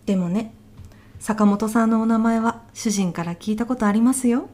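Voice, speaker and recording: A woman playing an elderly widow in an audio comedy sketch, polite and soft-spoken, with deadpan, bewildered reactions. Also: fairly high pitch, moderate pace, natural voice. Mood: polite, wistful.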